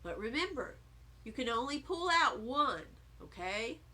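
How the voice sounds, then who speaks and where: A woman's voice: on the high side, sing-song, unhurried, sharp tone, full character voice. A woman voicing a friendly, easygoing dad who patiently explains a party game in a children's story. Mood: calm, encouraging. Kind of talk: children's audiobook character voice.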